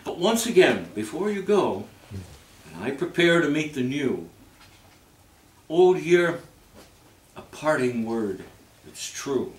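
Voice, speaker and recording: A man reciting poetry in a theatrical, measured manner, with phrases that swell and then fall away. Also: medium pitch, very animated. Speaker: reader at a readers theater event. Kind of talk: dramatic poetry recitation with spoken introduction. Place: live reading in a roomy space. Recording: roomy, distant microphone, background noise.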